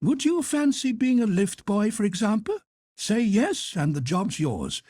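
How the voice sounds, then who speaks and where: Storybook role: a pressing, kindly head cook of fifty, voiced by a man, husky, high and animated. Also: measured pace, no room sound, exaggerated voice. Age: 50s. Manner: effusive, pressing, motherly. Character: eager fifty-year-old woman, a hotel head cook.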